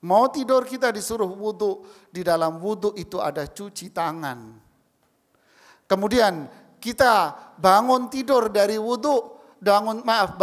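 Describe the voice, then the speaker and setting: A man in his forties giving a Friday sermon from a mosque pulpit, with emphatic rising calls to the congregation and slow chanted Arabic. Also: high voice. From Indonesia. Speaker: preacher. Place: large mosque hall, indoors. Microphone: stand mic at a pulpit. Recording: long mosque hall reverb.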